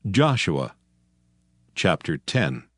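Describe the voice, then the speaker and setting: A man narrating a dramatized Bible reading from a script in a solemn, measured manner. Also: low-pitched, very animated. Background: background music.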